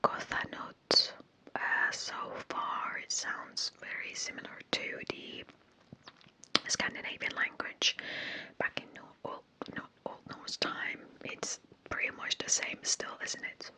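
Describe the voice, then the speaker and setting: An ASMR creator whispering slowly and softly, repeating words and hesitating over pronunciations. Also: rough recording. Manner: unsure of foreign pronunciations.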